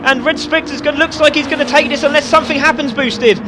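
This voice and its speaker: A man giving excited live commentary on a sim race, often shouting and laughing. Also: very high voice, quick pace, noisy background.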